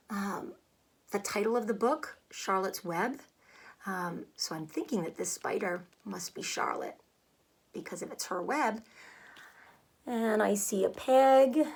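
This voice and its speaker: Woman, schoolteacher, medium pitch, simple explanations for children, read-aloud style. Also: creaky.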